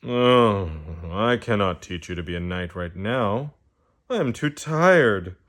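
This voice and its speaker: A man's voice: lowish voice, lively intonation, slow, a bit bright. A man voicing a sleepy, grumpy male owl knight who speaks in a weary, curt way. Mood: tired.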